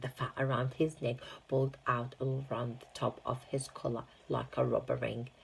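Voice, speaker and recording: A woman's voice: a bass voice, little pitch movement, moderate pace, creaky, a little hiss or hum. A woman reading a children's storybook aloud as narration. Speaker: teaching assistant reading aloud.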